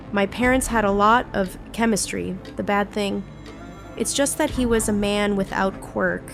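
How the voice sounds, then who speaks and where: A woman's voice: medium pitch, creaky, natural voice. A woman voicing a bitter young female villain recruit, narrating her painful past in a scripted comic dub. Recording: music under the voice. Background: background music.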